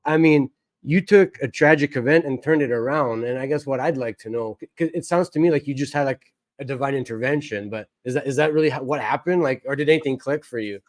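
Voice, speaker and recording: A man hosting a podcast interview, calmly summing up his guest's story before asking a question. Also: medium pitch, fast, no room sound. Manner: summarizes the guest's story back, relaxed. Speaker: podcast host. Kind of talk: conversational interviewing.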